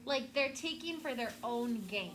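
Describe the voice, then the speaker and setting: A woman's voice: fairly high pitch, lively intonation, unhurried, noisy background. A woman schoolteacher reading aloud and talking to children in a classroom, explaining in simple words and checking in with questions.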